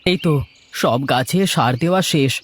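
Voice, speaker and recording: A man voicing a gentle, earnest young village farmer in a cartoon folk tale. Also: fairly high pitch, normal speed, natural voice. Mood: tender.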